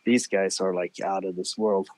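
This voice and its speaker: A man, telling lively stories in a casual chat and acting out his younger self, heard over a phone call. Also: low-pitched, moderate pace. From Sweden. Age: 40s. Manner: animated storyteller, acts out his younger self. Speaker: guest musician.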